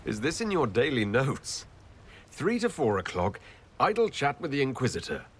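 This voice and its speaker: An actor playing a composed, courteous elven leader in a video game, speaking politely with dry wit. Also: male voice, mid-range voice, huge pitch swings, normal speed, husky. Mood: gently teasing. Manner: polite, wry. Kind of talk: video game dialogue acting.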